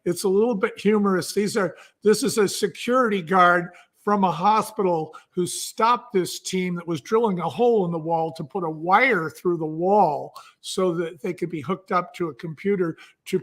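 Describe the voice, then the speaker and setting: High-voiced man telling business stories. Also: measured pace, a bit bright. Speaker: guest, entrepreneur and author. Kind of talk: conversational interview storytelling.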